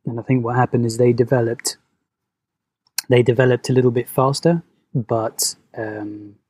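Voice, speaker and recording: A man, born in Venezuela, talking as a podcast guest in a soft-spoken, hesitant, reflective way. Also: medium pitch, steady tone, normal speed, slightly rough, no echo. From Venezuela, raised in London. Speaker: guest, movement coach. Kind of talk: podcast conversation.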